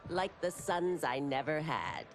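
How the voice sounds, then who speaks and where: A woman's voice: low voice, lively intonation, measured pace, faint music behind. An actress playing a fond, motherly village restaurant keeper and mentor in a video game, affectionate and indulgent. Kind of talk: cinematic video game acting.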